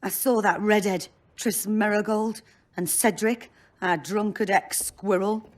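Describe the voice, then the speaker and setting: An actress playing a blunt, earthy, world-weary brothel madam in a fantasy video game, speaking in short bursts. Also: female voice, mid-range voice, steady tone, unhurried, close to an everyday voice. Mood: blunt, defensive.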